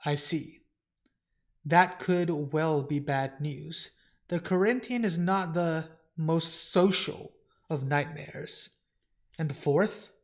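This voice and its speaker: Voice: medium pitch, takes it slowly. A comic reader voicing a solemn, brooding male ruler of dreams who speaks in stately, formal phrases. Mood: grave, troubled.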